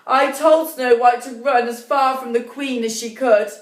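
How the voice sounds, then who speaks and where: A woman's voice: on the high side, steady tone, unhurried, close to an everyday voice. A woman voicing an aggrieved huntsman who protests emphatically in a children's story. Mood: aggrieved, protesting. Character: aggrieved huntsman, a man blaming the queen. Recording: roomy, distant microphone.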